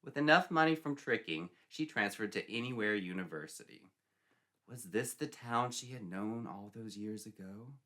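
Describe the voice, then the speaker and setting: Forceful male narrator, theatrical delivery. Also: medium pitch. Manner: theatrical, mock-serious. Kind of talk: dramatic scripted story narration.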